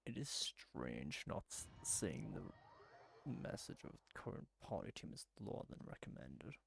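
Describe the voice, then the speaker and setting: A man's voice: low-pitched, sing-song, measured pace, gravelly, a little hiss or hum. A man giving casual commentary while playing a video game, muttering puzzled asides to himself. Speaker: gaming streamer.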